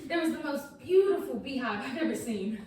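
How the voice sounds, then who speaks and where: A woman's voice: high voice, expressive, brisk, a little mellow. A woman in a staged play reading, playing a young nature-loving peacenik, laid-back but teasing when provoked. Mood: mellow. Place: echoey indoor room, far mic. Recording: distant, echoey room with hiss.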